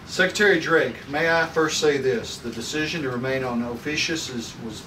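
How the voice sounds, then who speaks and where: A man's voice: mid-range voice, normal speed, natural voice. A man reading a solemn, formal professor and guardian at a script table read, in a stately, declamatory manner. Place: room at a group table read. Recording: echoey noisy room, far from mic.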